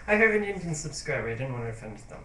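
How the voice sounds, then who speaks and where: A man's voice: a little high, a bit bright, distant mic. A man talking straight to camera in a casual diary vlog. He rambles hesitantly in a sentimental, confiding tone. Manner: rambling, hesitant, full of fillers and restarts. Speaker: YouTube vlogger. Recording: roomy echo.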